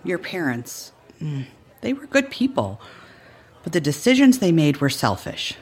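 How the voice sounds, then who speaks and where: A woman's voice: low voice, very animated, a little slow, husky, heavily acted. A middle-aged woman playing a frank, concerned family friend in a naturalistic audio drama. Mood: concerned, blunt. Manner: plainspoken, frank. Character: husky older female family friend, concerned, blunt.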